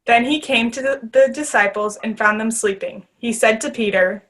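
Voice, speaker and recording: A woman's voice: on the high side, normal speed, a bit bright. A woman reading scripted scripture narration as the narrator of a readers theater.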